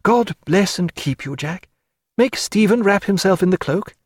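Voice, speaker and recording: A man's voice: high voice, lively intonation, moderate pace, slightly rough, no room sound, studio quality, pitched above the performer's own voice. A man voicing an earnest, tender young woman. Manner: soft, pleading.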